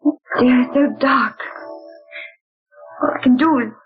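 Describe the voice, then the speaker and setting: An actress playing a genteel woman recounting a ghostly night in 1940s radio drama style, theatrical and breathy. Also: high-pitched, unhurried, soft background music. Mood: frightened. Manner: dramatic, exclaiming. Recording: tinny old radio broadcast.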